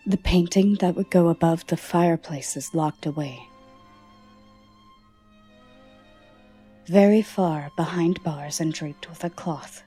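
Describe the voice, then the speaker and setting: A woman performs an alluring, troubled gothic lady in an ASMR roleplay. Her voice is breathy and soft, often sinking to a whisper, and she speaks very slowly in a lowish register. Her manner is formal, tender and teasing, yet guarded. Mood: solemn, confiding. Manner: slow, formal, teasing endearments.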